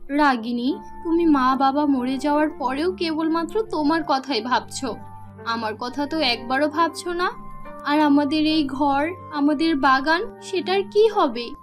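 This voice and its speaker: A woman's voice: sits high, measured pace, faint music behind. A woman voicing a wronged, earnest young sister in a cartoon fairy tale, pleading and reproachful. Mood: hurt, reproachful.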